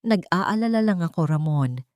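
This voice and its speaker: A calculating woman therapist, speaking with carefully tuned professional concern, as a woman narrator reads her lines. Her voice sits low and close, with a dry sound. She insinuates rather than accuses. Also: lively intonation, moderate pace, a little mellow, dry recording, natural voice. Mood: feigned concern.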